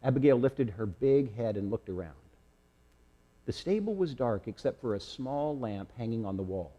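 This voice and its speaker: Husky, mellow man reading in a reverberant hall. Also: medium pitch, lively intonation, normal speed, dull audio. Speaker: storyteller reading aloud. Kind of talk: slow dramatic children's storybook reading. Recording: echoey hall with long reverb.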